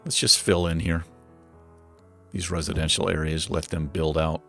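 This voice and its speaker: A man casually commenting on his city-building game while he plays, thinking aloud with lots of fillers, his voice going hoarse. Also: rumbling low, little pitch movement, moderate pace, a bit bright, faint music behind. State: losing his voice. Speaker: gaming channel host.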